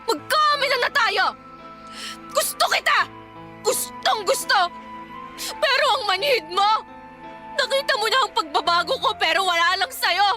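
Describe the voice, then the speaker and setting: A woman's voice: very high voice, animated, measured pace, pauses often, bright, forceful tone, exaggerated voice. A woman playing a feisty, lovesick young tomboy in an emotional radio drama, defiant and whiny, with a Filipino accent. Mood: hurt, confessing. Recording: music bed behind.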